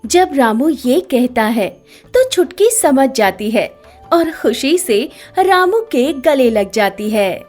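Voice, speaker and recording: A woman's voice: sits high, sing-song, takes it slowly, darkish tone. A woman narrating a scripted children's moral story as the storyteller. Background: background music.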